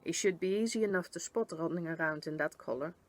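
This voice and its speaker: A woman voicing a police constable in a storybook, brisk, practical and official, giving instructions. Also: on the low side, voice pushed down, natural voice.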